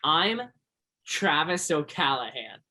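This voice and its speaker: An actor playing an earnest, curious twenty-two-year-old backwoods local in a stage play, gentle and simple in manner. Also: mid-range voice, animated, takes it slowly, full character voice. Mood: friendly, awkward.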